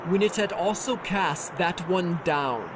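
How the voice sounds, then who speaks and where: Unhurried audiobook narrator, solemn, formal, measured, scripture storytelling, prominent music underneath. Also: medium pitch, lively intonation.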